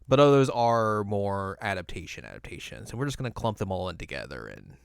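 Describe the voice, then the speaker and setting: A man's voice: on the low side, big pitch swings, brisk, creaky, clean tone, dry recording, studio quality. A man hosting a podcast in a casual, chatty style. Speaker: podcast host. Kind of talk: casual chat about video game films.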